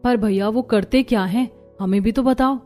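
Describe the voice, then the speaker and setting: Woman as anxious high-voiced young daughter-in-law. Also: moderate pace, mellow, faint music behind, lightly acted. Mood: worried, pleading. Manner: anxious, pleading. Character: worried, earnest young village daughter-in-law.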